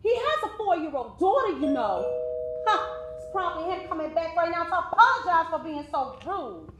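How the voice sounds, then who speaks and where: An actress playing a gossipy, dramatic aunt who exclaims loudly in a stage play. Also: female voice, very high-pitched, very animated, normal speed, reverberant, very noisy.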